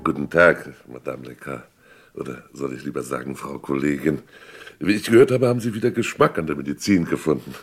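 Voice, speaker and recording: A forensic doctor in a radio crime drama. His voice is very deep, and he speaks deliberately, with a dry, ironic edge and plenty of self-assurance. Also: faint music behind.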